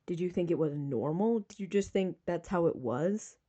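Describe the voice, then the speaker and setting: A woman voicing an earnest, protective young man in an audiobook, soft and intent in manner. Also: on the low side, animated, normal speed, no room sound, highs cut off, pitched below the performer's own voice. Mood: hesitant, probing.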